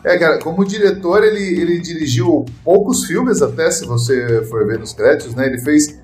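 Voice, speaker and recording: An enthusiastic Brazilian man hosts a casual film chat, speaking a little higher than most men. His voice rings in an echoey room, with faint music underneath. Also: animated, normal speed, darkish tone. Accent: Brazilian Portuguese. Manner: enthusiastic, peppered with "cara" and "né". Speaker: podcast host.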